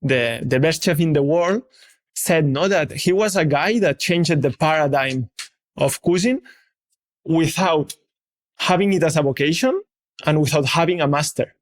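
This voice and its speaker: A man hosting an interview, asking questions carefully and pausing to find his words, with some restarts. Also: a little high. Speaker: interviewer, podcast host.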